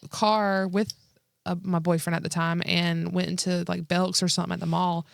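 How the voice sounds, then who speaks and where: A woman podcast co-host with a lowish voice and plenty of vocal fry. She chats casually and comically, candid and slangy, dropping "like" into her sentences. The sound is close and dry. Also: level tone, a little fast, dry recording. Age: 30s. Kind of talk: casual comedic chat.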